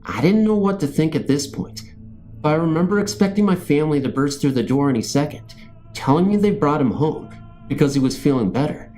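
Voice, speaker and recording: A man's voice: fairly high pitch, lively intonation, brisk, dark tone, soft background music. A man reading scary stories as a narrator, in a scripted storytelling style.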